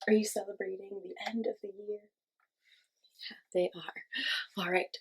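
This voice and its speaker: A woman teaching young children, giving simple encouraging instructions and asking questions, with pauses for answers. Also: medium pitch, flat delivery, darkish tone, a little hiss or hum. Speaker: children's class teacher and host. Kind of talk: children's lesson with guided movement.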